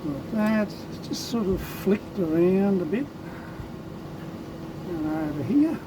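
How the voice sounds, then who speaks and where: A man with a croaky voice, talking through his lure painting in an unhurried, thinking-aloud way. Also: sits high, very animated, background noise. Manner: unhurried, thinking aloud while working, with many ums. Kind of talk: casual hands-on tutorial commentary.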